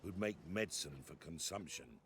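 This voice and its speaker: An actor playing a folksy rustic villager in a fantasy video game. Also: male voice, sits low, expressive, moderate pace, fairly bright tone, slight background noise. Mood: earnest. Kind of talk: video game character dialogue.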